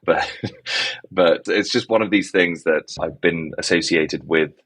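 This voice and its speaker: A man's voice: low-pitched, unhurried, fairly bright tone, slightly rough. A man from the Czech Republic who speaks with an English accent, talking as a founder in an interview, with a rambling delivery full of "kind of" and "basically". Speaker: guest, startup founder. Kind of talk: conversational interview.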